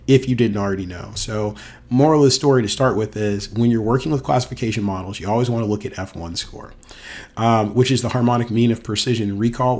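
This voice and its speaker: A man with a voice hoarse from a cold, teaching a casual screen-recorded data tutorial as a university instructor, thinking aloud with fillers. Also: mid-range voice, a little mellow.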